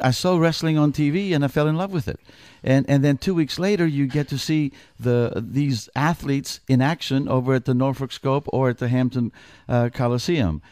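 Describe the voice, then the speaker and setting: A man's voice: medium pitch, a little mellow, smooth, dry recording. A middle-aged man from Greece, a wrestling commissioner, talking as a guest in a radio studio interview, earnest and prone to restarting his sentences.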